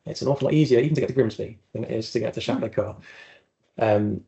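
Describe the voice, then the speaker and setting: A man of ordinary, middle pitch presents his research in a university seminar room. He speaks in an earnest and reflective way. He chooses his words with care. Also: quick pace, dark tone, lo-fi sound. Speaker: academic speaker. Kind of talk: seminar talk with slides.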